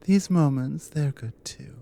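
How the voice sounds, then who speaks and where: Slow, mellow Nordic warrior wife, softly teasing, acted by a woman. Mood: affectionate, teasing. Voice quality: soft, low, breathy with whispered stretches. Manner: teasing, unhurried, affectionate. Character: teasing, devoted Nordic adventurer wife, dominant, warmly intimate. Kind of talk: ASMR roleplay, intimate bedside talk.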